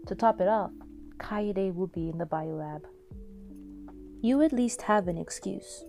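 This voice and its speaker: Mellow woman narrating a story. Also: lowish voice. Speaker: narrator of fan fiction audio story. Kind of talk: expressive scripted second-person narration. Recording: music under the voice.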